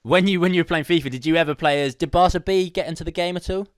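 A man's voice: on the high side, fast, clear, resonant tone, dry recording, studio quality. A man hosting a casual sports podcast, talking in an informal, chummy way with his guest. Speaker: podcast host.

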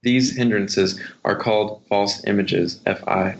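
A man's voice: on the low side, fairly even intonation, a little slow. A man speaking as an author and guest, reading from a memoir or talking about writing. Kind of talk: memoir reading and literary interview talk. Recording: muffled, dull lo-fi sound.

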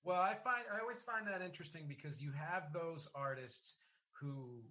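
A man's voice: a little high, normal speed, pushed and bright. A man hosting a podcast in casual interview chat, explaining his views diplomatically. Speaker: podcast host.

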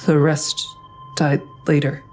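An actress playing a hesitant, guilt-ridden grown daughter who narrates and confesses plainly in an audio drama. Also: female voice, sits low, flat delivery, takes it slowly, darkish tone, soft background music. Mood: reflective. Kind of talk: audio drama acting with first-person narration.

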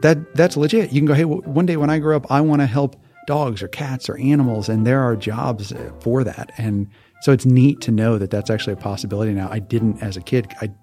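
A man from Hawaii, a podcast guest telling relaxed, casual stories and laughing easily. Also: mid-range voice, a little fast, soft background music. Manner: relaxed, laughs often, restarts words. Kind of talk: casual interview storytelling.

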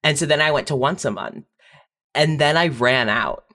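A writer speaking as a podcast guest, chatting casually and in an animated, self-deprecating way about their own experience. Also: medium pitch, moderate pace. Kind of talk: casual panel chat, telling own experience.

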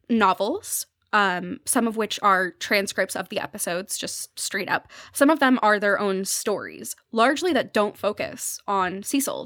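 A woman's voice: mid-range voice, fairly bright tone, no echo. Thoughtful woman explaining, in a dry recording. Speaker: podcast guest, journalist. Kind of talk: conversational podcast commentary.

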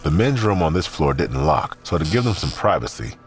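A man's voice: extremely low pitch, big pitch swings, brisk. A man narrating a scripted audio drama. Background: music.

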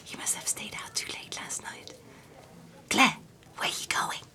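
An actress playing a polite young office secretary in an audio drama, who also narrates in the first person. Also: female voice, unhurried, in a whisper, close to an everyday voice. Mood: bright, attentive. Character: polite, fond French office secretary, engaged young woman. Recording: music behind the voice.

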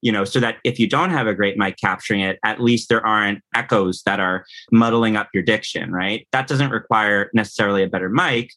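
A man's voice: on the low side, fairly quick, few pauses, bright, forceful tone. A man in his thirties talking casually as an interview guest, explaining things and often checking in with the listener. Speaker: composer and audio producer guest.

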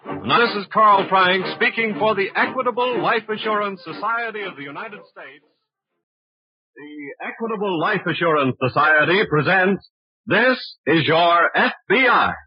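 High-voiced, lively old-time radio announcer man. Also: measured pace. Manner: formal, polished delivery. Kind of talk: scripted 1940s radio announcing and sponsor pitch. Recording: dull old radio broadcast recording.

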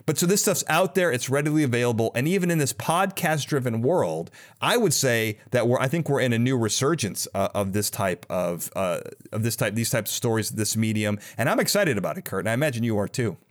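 Man, medium pitch, earnest podcast host, casual conversation, halting and rephrasing. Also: lively intonation.

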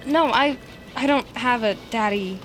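An actress playing an eager, awkward young tech team leader, stammering and rambling earnestly in a naturalistic audio drama. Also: female voice, fairly high pitch, unhurried, a bit bright, a little hiss or hum. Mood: hesitant, wistful.

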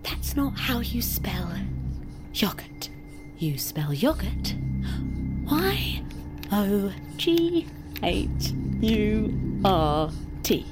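A woman's voice: mid-range voice, big pitch swings, extremely slow, pauses often, over loud music. A woman acting a needy, unstable former estate agent in an audio drama, hesitant and anxiously repeating herself. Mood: condescending, strained. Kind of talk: naturalistic audio fiction acting.

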